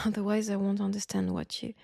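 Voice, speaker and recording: A woman with a French accent playing a caring but firm wartime army nurse in an intimate roleplay, speaking softly and breathily in a coaxing way. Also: mid-range voice, level tone, a little fast, dry recording, studio quality. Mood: tender. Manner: soft, breathy, gently coaxing. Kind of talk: intimate roleplay audio acting.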